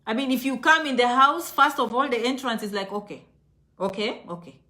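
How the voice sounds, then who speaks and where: A woman with a Kenyan accent speaks straight to her viewers in a casual motivational vlog. Her voice sits fairly high and is very bright and forceful. She is emphatic, repeating short phrases to press her point. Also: fairly quick. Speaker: online video host.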